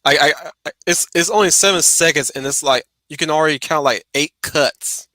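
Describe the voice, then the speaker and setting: A man's voice: a little high, normal speed, bright, forceful tone, no room sound. A man chatting casually on a livestream as he reacts to a video, often repeating words as he finds his way. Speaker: stream host.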